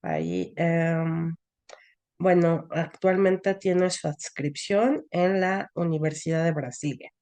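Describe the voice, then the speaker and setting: A woman's voice: low voice, animated, a little mellow, no echo. A woman moderates an academic seminar session, thanking one speaker and introducing the next, with frequent "eh" and "este" fillers. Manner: unhurried, hesitant with "eh" and "este" fillers.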